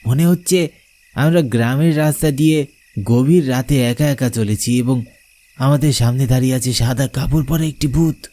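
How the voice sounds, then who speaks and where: Man playing a mellow, uneasy young buddy. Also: medium pitch, normal speed, natural voice. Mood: spooked. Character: uneasy young male friend, chatty, wryly exaggerating. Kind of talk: cartoon horror dialogue.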